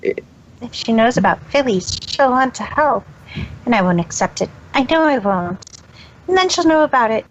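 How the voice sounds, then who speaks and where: A woman's voice: fairly high pitch, animated, moderate pace, close to an everyday voice. A woman voicing a proud, flustered female pony magician in a fan fiction audio reading. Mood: nervous. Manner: boastful third-person self-reference, nervous. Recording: dull lo-fi recording.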